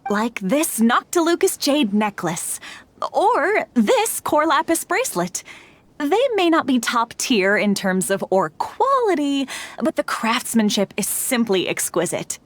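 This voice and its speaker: An actress voicing a brisk, persuasive female shopkeeper in a video game, with a salesy manner. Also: high-pitched, big pitch swings, full character voice. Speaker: game character.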